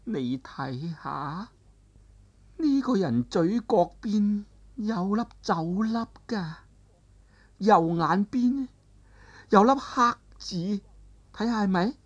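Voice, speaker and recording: Shrill aged swordswoman as a man puts her on, high wavering falsetto, bitter and grieving, swoops widely, storybook. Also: animated, slow, pauses often, lo-fi sound, exaggerated voice. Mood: pointed. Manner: high strained falsetto, wavering between laughter and sobbing. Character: aged woman martial arts master, bitter and grief-stricken. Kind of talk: traditional storytelling, voiced character dialogue.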